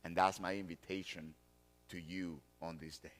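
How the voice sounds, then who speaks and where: Pastor, male, 40s; bright, forceful tone, emphatic repetition. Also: sits low, flat delivery. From Colombia. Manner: deliberate, emphatic repetition of key phrases. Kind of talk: sermon.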